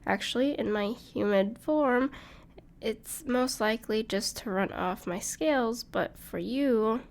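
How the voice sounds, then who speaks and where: A woman playing a gentle, caring dragon friend in an intimate audio roleplay, speaking reassuringly to the listener with some hesitation. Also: on the high side, a little slow. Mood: concerned, gentle. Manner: soft-hearted, reassuring, hesitant with small laughs.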